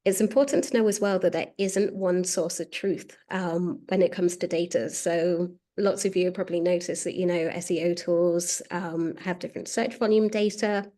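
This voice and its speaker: A woman's voice: lowish voice, steady tone, normal speed, few pauses, warm tone. A woman hosting a webinar as a marketing director, talking casually with lots of "um"s. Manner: chatty, many fillers. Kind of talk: presenting a webinar.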